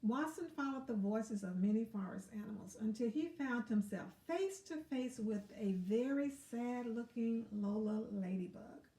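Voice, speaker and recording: A woman reading a picture book aloud to children, expressive and pausing to put questions to them. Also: a little high, far from the mic. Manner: expressive, asks questions of young listeners. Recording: roomy echo, away from mic.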